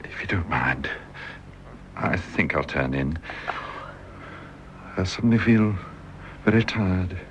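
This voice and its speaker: A British actor playing a bedridden, despairing young husband in a radio drama, halting and tender. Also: male voice, low-pitched, expressive, a bit bright, highs cut off, a little hiss or hum, exaggerated voice. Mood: weary, subdued. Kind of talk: BBC radio drama acting.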